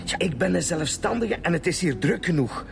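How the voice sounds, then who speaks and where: A man's voice: high voice, animated, brisk, gravelly, music in the background. An actor playing a fussy, defensive small businessman under police questioning, with a Flemish accent, in a radio drama. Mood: indignant, defensive. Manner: indignant, self-justifying, hesitant under pressure. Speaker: murder suspect.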